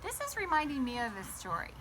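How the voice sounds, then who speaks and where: Higher-voiced woman reading to kids, noisy outdoors. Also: quick pace. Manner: animated, addresses young listeners with questions. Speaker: children's read-aloud host. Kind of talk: storybook read-aloud with chatty asides to children. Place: garden, sitting outdoors by a small table fort.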